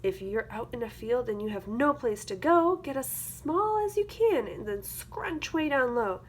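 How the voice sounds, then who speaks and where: Woman, fairly high voice, teacher reading picture book to children, rhythmic expressive phrasing, noticeable background noise. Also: a little slow. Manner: rhythmic, expressive delivery of rhyming verse with explanatory asides. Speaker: teacher reading aloud to a class.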